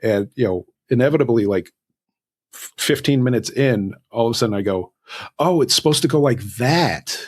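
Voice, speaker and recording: A man, a guest author, giving reflective, halting advice in a conversational interview. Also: mid-range voice, animated, a little mellow. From Southern California, United States.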